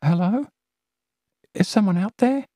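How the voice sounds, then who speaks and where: A man voicing a frightened, stubborn young girl trapped in a drain, shrill and pleading. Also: very animated, normal speed, dry recording, clean studio sound, exaggerated voice. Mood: fearful.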